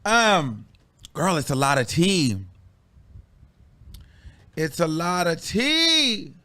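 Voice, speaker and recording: A man with a somewhat high voice runs a live gossip stream in a sassy, chatty way. He talks to his viewers as girl and child. His talk rambles with plenty of ums and restarts. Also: very animated, very slow, pushed and bright, no echo. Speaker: host of a celebrity gossip show.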